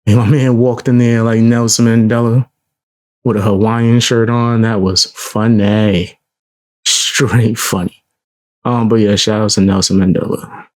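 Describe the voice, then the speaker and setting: A man with a low voice, commenting casually and with slang on a live stream, laid-back with chuckling asides. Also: a little mellow, no echo.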